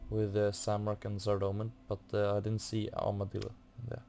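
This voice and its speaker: A man giving casual playthrough commentary as a gaming video host, halting as he searches for words. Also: fairly low pitch, monotone, gravelly, mellow, faint music behind.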